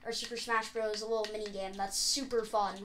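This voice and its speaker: A woman's voice: mid-range voice, flat delivery, fairly quick, a bit bright, echoey room, slight background noise. A woman talking casually with a friend as co-host while playing a video game. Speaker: gaming video co-host and player. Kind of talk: casual gameplay chat.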